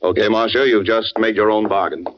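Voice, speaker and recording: A man acts a hard-boiled, impatient husband in an old radio play. His voice is very low, with blunt, clipped phrasing. The sound is close and muffled, like a vintage broadcast. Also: fairly even intonation, fairly quick, background noise, lightly acted. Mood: cold impatience. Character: hard, curt playwright husband fed up with his wife. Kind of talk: 1940s radio drama acting.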